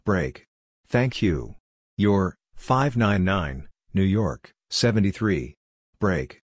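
A man reading short scripted practice phrases as a drill narrator, deliberately spelling out letters and call signs one by one. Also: low-pitched, animated, slightly rough, no room sound.

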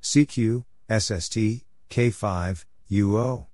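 Man, lowish pitch, very slow, clipped phrases, spelled-out letters, drill readout, faint noise with clicks. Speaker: Morse code practice narrator. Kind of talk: spoken drill readout of radio exchanges.

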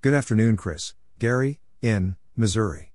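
A man's voice: on the low side, normal speed, darkish tone. A man announcing Morse code practice, reading letters, numbers and short phrases in clipped, separated words.

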